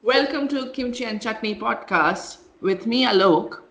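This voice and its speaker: A woman from India, co-hosting a casual podcast and telling animated stories with laughs. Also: a little high, a little slow, over music. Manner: animated storyteller, laughs mid-sentence. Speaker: podcast co-host. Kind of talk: casual chat.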